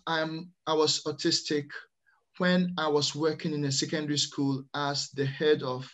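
A middle-aged man from Ghana, the Netherlands and France, telling his own story in a hesitant, measured way on a video call. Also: fairly high pitch, flat delivery, fairly bright tone. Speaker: interview guest, early years teacher. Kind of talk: personal storytelling in an interview. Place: small plain room indoors, on a video call. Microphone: computer microphone on a video call.

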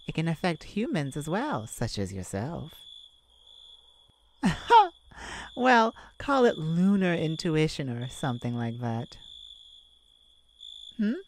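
Woman playing a slow, lively-pitched teasing vampire. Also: full character voice. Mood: playful, teasing. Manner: teasing, dramatic, with pauses and frequent chuckles. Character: playful, teasing, flirtatious immortal vampire. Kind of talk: slow intimate ASMR roleplay.

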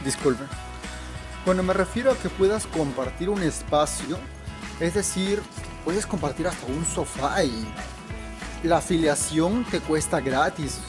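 A man's voice: fairly high pitch, a little slow, music in the background. A man in his twenties giving informal advice to camera, speaking instructively and repeating phrases for emphasis. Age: 20s. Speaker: travel vlogger and guide. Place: outdoors in city square and narrow streets. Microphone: camera at arm's length.

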